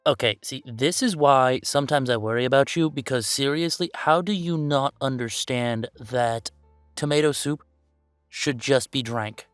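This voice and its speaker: A man's voice: mid-range voice, normal speed, smooth, no room sound, faint music behind, natural voice. A man playing a playful, easygoing young werewolf boyfriend in a casual roleplay audio, chatting in a teasing, emphatic way.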